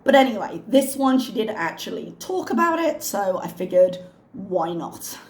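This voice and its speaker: A woman with a hoarse, slightly strained voice, chatting casually and wryly as a reaction channel host. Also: medium pitch, very animated, normal speed, darkish tone, roomy sound, a little hiss or hum. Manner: chatty, wry, self-mocking. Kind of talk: casual chat to camera.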